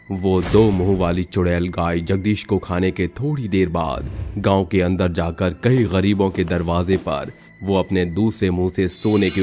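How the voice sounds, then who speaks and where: A man narrating a scripted horror story for a cartoon storybook channel, steady and dramatic. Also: low voice.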